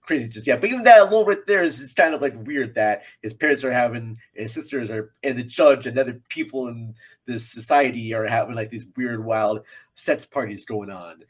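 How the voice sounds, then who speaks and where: A man's voice: medium pitch, brisk, husky. A man guesting on a film podcast, chatting casually in a rambling, joking manner. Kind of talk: casual chat about a film.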